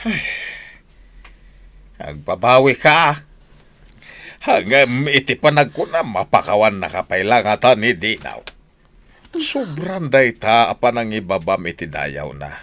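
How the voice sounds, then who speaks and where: A man's voice: lowish voice, sing-song, a little slow, long pauses. A man with an Ilocano accent, acting in a radio drama as an angry husband who later scolds as a stern father. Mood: scolding. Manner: loud, scolding, mocking laughs.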